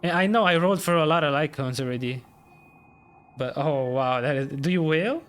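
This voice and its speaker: A man's voice: fairly high pitch, normal speed, a bit bright. A man streaming a game and reacting casually as he plays along. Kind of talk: casual playthrough reaction chat. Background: game music.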